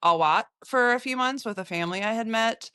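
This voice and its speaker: An American woman, a photographer, recounting her travels in an interview, halting often with fillers and restarts. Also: a little high, sharp tone, clear, resonant tone, no echo, studio quality. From United States.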